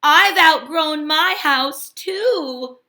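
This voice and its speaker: A woman voicing a friendly, eager male hermit crab from a storybook, with a polite, emphatic, drawn-out delivery. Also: high-pitched, sharp tone, pitched above the performer's own voice, exaggerated voice. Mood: resolute, kind.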